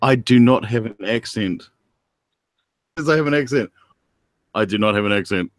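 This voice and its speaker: A man's voice: lowish voice, normal speed, no echo, clean studio sound. A man chatting casually on an online panel, informal and repeating himself for emphasis. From New Zealand. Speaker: participant in an online panel chat. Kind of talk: casual chat.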